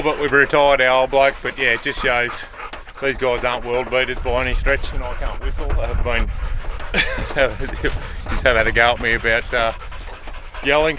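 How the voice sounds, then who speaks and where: Australian farmer talking outdoors. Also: male voice, mid-range voice, background noise. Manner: rambling, with many fillers and restarts; loud calls and commands to working dogs. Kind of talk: casual vlog talk to camera. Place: outdoors on a sheep farm.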